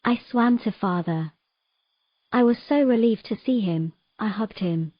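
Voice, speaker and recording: A woman playing a sorrowful young mermaid in an audio drama, telling of her past in a gentle, measured, halting way. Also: mid-range voice, dry recording, dull audio, close to an everyday voice. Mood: grieving.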